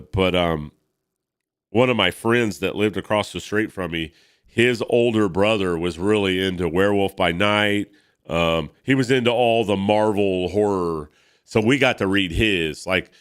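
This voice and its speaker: A man's voice: fairly low pitch, unhurried, fairly bright tone, clear, resonant tone. Congested man rambling about comics. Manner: rambling, filler-heavy. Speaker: host. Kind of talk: casual comic book reading.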